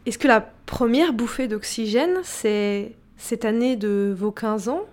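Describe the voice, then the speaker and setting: A woman hosting a radio interview who asks formal, measured questions. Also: on the high side, a little mellow.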